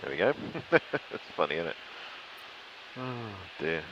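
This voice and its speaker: A man's voice: very deep voice, a little slow, dull audio. A man flying a light aircraft, giving a casual running commentary to himself. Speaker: student pilot vlogging in flight. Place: small light aircraft cockpit in flight. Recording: steady light-aircraft engine noise. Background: aircraft engine noise.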